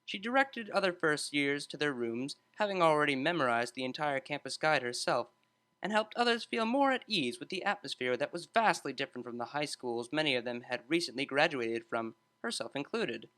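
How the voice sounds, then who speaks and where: A man's voice: a little high, expressive, brisk, bright, forceful tone. A man reading a scripted story aloud as an audiobook narrator.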